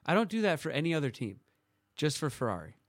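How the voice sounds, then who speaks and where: A man's voice: fairly high pitch, sing-song, fast, no room sound, clean studio sound. A young man chatting casually on a podcast, rambling and laughing often. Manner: rambling, laughs often, does silly voices. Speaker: podcast co-host. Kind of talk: casual chat about motor racing.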